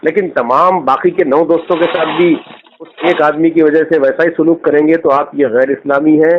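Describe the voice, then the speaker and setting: Muffled phone voice, advising cleric. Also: male voice, on the high side, fairly even intonation, moderate pace, noisy background. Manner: explanatory, instructive. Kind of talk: conversational religious advice. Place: on a phone call. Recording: dull lo-fi phone recording.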